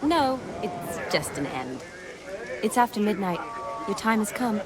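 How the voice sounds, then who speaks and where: A woman voicing a level-headed young woman in a video game, soft but firm. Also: medium pitch, sing-song, a little slow. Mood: gentle, firm. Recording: loud crowd around the voice.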